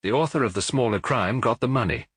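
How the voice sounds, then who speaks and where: A man voicing a little priest detective in an audiobook, gentle and earnest as he explains in a measured, lecturing way. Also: mid-range voice, husky, no echo, lightly acted. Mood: earnest explaining. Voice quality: gentle, even. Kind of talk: audiobook character dialogue.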